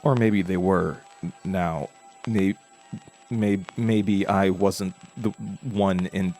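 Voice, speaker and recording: A man playing a haunted, frightened protagonist, narrating a horror audio drama in a halting, uneasy, stammering way. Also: sits low, fairly even intonation, darkish tone, faint music behind.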